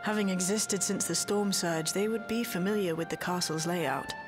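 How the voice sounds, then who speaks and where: An actress playing a confident, clever technical expert in a video game, articulate and matter-of-fact. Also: female voice, lowish voice, moderate pace, over music, lightly acted. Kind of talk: dramatic video game voice acting.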